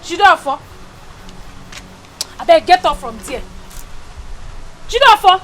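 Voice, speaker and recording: A woman's voice: very high-pitched, sing-song, takes it slowly, fairly bright tone, exaggerated voice, poor audio. An actress playing a distraught village wife who wails and sobs for her husband, then pleads humbly. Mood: wailing. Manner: shrieking, sobbing, calling out repeatedly. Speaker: widow. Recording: music bed behind.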